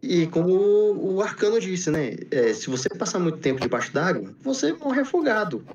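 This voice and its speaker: Higher-voiced Brazilian man, dull distant recording. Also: sing-song, normal speed, a little mellow, a little hiss or hum. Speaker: podcast speaker. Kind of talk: casual anecdotal chat. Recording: dull lo-fi sound, off-mic.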